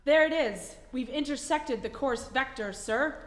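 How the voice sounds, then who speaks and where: A woman's voice: high voice, unhurried. A woman playing an eager, questioning spaceship lieutenant, deferential and military, in old-time radio drama style. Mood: alert. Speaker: actor in a radio play. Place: theatre stage, live performance. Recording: echoey theatre hall.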